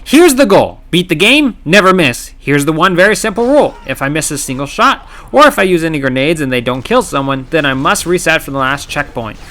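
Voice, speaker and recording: Bright, lively sarcastic game narrator man. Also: fairly high pitch. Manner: wry, sarcastic, playful voice-over with dramatic pauses. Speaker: gaming channel host. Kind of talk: scripted comedic game commentary narration.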